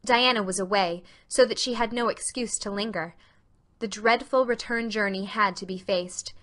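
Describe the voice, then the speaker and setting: A woman narrating a novel as a dramatic reading, with expressive literary storytelling. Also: mid-range voice, normal speed.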